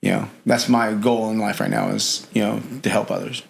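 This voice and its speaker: A man's voice: lowish voice, fairly even intonation, normal speed, creaky, a little hiss or hum. A middle-aged man talking as a podcast guest in casual interview chat, rambling with lots of fillers and "you know".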